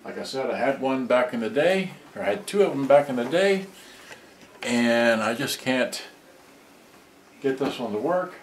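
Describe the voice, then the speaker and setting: A middle-aged man thinking aloud in a halting, rambling way during a casual live repair demonstration. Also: medium pitch, animated. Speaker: hobbyist electronics repairer on a livestream. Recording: distant mic, echoey room, background noise.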